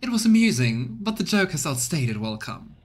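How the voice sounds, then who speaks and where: A man's voice: a little high, very animated, a little fast, breathy edge, pitched above the performer's own voice. A man voicing a harsh, imperious noblewoman in a game, scornful and haughty, with music behind. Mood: haughty irritation. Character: harsh, angry, commanding noblewoman, contemptuous and imperious.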